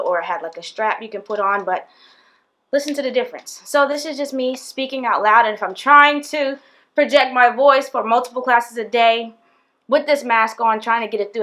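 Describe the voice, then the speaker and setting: A woman's voice: a little high, brisk, sharp tone. A young woman, a PE teacher, giving casual tips straight to camera in a small room, chatty and direct with lots of fillers. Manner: chatty and direct, addresses viewers, frequent fillers. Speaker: PE teacher and video host. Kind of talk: casual talking-head tips video. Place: indoors, small room with plain wall.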